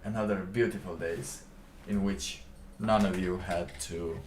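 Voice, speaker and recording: A man live streaming, chatting casually in a halting, rambling way with lots of fillers. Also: low-pitched, fairly even intonation, darkish tone, a little hiss or hum. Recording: roomy, distant mic with faint ambience.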